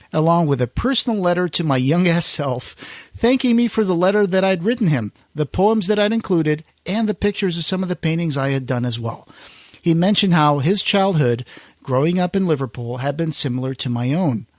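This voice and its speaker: Male podcast host, casual, reads out comments. Voice a bit high, recorded very dry. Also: dry recording. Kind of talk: casual podcast talk, reading out listener comments.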